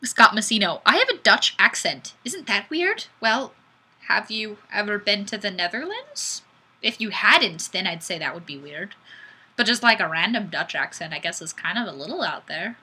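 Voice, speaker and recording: Bright-voiced goofy woman chatting on stream. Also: mid-range voice, moderate pace, breathy edge. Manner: goofy, animated, exclamatory. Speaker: live stream host. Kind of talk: casual chat, reading viewer comments aloud.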